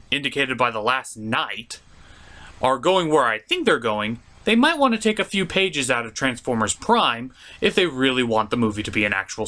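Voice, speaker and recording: A man's voice: medium pitch, sharp tone. A man hosting an informal, opinionated comedic review, giving scripted commentary on a cartoon with exaggerated emphasis. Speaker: host of a cartoon review show.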